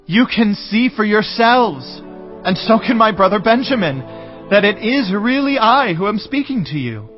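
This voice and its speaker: A man's voice: high-pitched, very animated, measured pace. An actor playing an emotional, powerful young male ruler in a dramatized audio Bible, speaking in an earnest, declamatory way. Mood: earnest, urgent. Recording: dull audio with music underneath.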